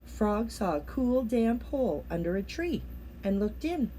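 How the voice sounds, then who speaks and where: A woman reading a children's picture book aloud as an expressive storyteller. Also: on the high side, a little slow, slightly rough, poor audio. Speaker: storybook reader.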